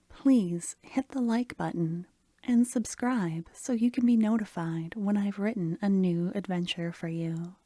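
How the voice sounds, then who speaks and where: Soft spoken asmr lady telling a story, breathy n slow, gentle, half whispering. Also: medium pitch, no room sound, studio quality. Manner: gentle, soothing, measured. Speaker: ASMR storyteller and channel host. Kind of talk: softly spoken scripted second-person narration.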